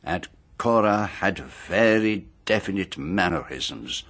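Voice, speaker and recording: An actor playing a shrewd, composed detective in a radio drama, deliberate and precise, with dramatic pauses. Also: male voice, sits low, expressive, a bit bright, exaggerated voice. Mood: measured, accusing. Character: shrewd, composed male detective laying out a murder case.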